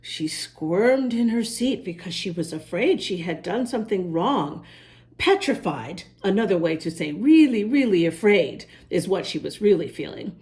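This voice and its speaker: A woman's voice: mid-range voice, moderate pace. A woman reading a picture book aloud to young children, talking directly to them and carefully sounding out words. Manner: animated, addresses young listeners, spells words out letter by letter. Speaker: children's story reader, host.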